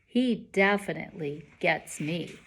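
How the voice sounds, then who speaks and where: Woman reading a kids novel out loud, slow pace, real lively up and down. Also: lowish voice, slight background noise. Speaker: teacher reading aloud. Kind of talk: expressive children's novel read-aloud.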